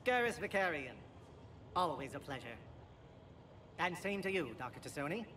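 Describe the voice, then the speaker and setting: A man playing a brisk amphibian alien military officer in a video game, speaking in a clipped, formal, dry way. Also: on the high side, very animated, pushed and bright. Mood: pleased, formal. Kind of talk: video game cutscene acting. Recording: faint background noise in game capture.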